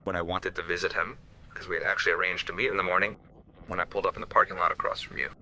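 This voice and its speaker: A cornered suspect in a radio drama, defensive and bitter. His voice is very low and gravelly with a hard, forceful edge, over faint background noise. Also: flat delivery, racing, heavily acted, poor audio. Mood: tense confession. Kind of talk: radio play acting.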